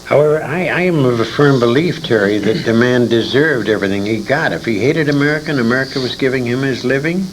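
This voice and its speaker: A man's voice: mid-range voice, quick pace, few pauses. An older man reminiscing in an interview, an emphatic and opinionated storyteller who speaks with feeling. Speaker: interview guest, former radio performer. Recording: noisy amateur convention interview tape.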